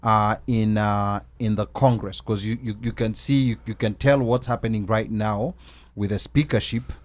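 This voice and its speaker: A man hosting a casual panel talk, animated and repeating words as he makes his point. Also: on the low side, normal speed. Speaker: show host.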